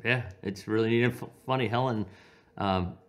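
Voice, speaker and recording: A man's voice: on the low side, moderate pace. A man in his forties from Iran, a physician talking conversationally as an interview guest, often restarting and correcting himself as he tells personal stories. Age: 40s. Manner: hesitant, self-correcting, repeats opening words.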